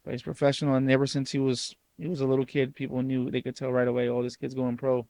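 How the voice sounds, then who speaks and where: Flat-voiced coach hosting a podcast. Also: male voice, mid-range voice, quick pace, rough recording. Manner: encouraging, mentoring tone. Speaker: youth soccer coach, podcast host. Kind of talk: interview questions and coaching advice.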